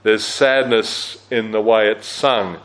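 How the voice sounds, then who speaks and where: A man with a low-register voice teaching a Bible study at a church camp, speaking conversationally with fillers and self-corrections. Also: steady tone, takes it slowly, a bit bright, rough recording.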